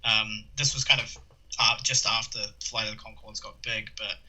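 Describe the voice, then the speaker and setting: A man's voice: fairly low pitch, little pitch movement, normal speed, sharp tone, rough recording. A young man from New Zealand with a New Zealand accent, chatting as a podcast guest, rambling with lots of fillers and restarts. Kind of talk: casual chat.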